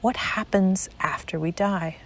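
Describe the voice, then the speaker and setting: A woman narrating a spiritual guided meditation in a measured, deliberate way, pausing between short phrases. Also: mid-range voice.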